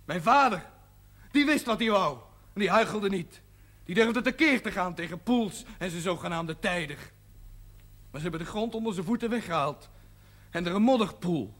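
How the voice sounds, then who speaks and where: Proud young worker, very high voice, indignant and outspoken, tv period drama. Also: male voice, a bit bright. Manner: forthright, indignant. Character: proud, indignant young working man, fiercely loyal. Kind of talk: television period drama acting.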